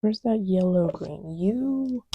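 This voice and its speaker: A woman speaking softly and often half-whispering, murmuring to herself in a casual, ASMR-style ramble. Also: medium pitch, animated, slow, lo-fi sound. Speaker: audio creator.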